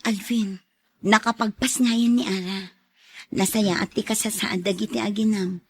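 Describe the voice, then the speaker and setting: Female, Ilocano accent: concerned, loyal friend as acted in radio drama, medium pitch, quite husky. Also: steady tone, unhurried. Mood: supportive. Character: loyal, concerned female confidante of a troubled man.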